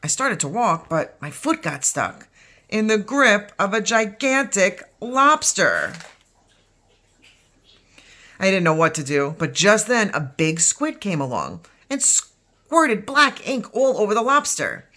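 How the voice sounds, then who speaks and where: A woman's voice: mid-range voice, sing-song, takes it slowly, pushed and bright, exaggerated voice. A woman voicing an unflappable grandpa who tells a tall tale in a storybook. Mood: excited storytelling.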